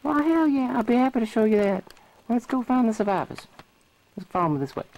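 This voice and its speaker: A man's voice: very high voice, expressive, fairly quick, a little mellow, a little hiss or hum, voice pushed up, low-quality recording. A man voicing a brash, easygoing sailor soldier with breezy slang, in an amateur cartoon dub. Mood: eager.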